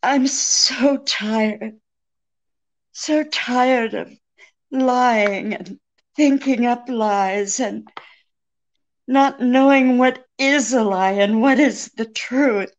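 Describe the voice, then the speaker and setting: A woman acting an alluring, weary, deceitful femme fatale in a 1940s-style radio drama, pleading with dramatic pauses. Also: a little high, muffled recording. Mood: weary, remorseful.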